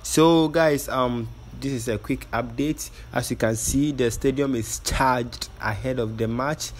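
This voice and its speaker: Vlogger guy, medium pitch, hyped and rambly, bit of background noise. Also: steady tone, measured pace. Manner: chatty, hypes up viewers, filler-heavy. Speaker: vlogger, channel host. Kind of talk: casual vlog update.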